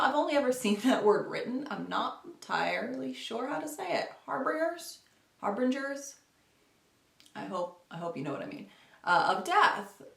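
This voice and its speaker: A woman's voice: high-pitched, gravelly, fairly bright tone. A woman talking casually about books to camera in a chatty, rambling way, often correcting herself as she goes. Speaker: book vlogger. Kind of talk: casual book chat to camera. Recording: roomy, echoey home recording.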